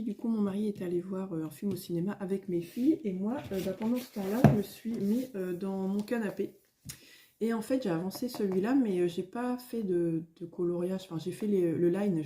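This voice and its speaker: A middle-aged woman, a little hoarse, chatting casually at home in a vlog, rambling with many fillers and restarts. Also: medium pitch, mellow, slight background noise. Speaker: coloring vlogger. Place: at home, indoors.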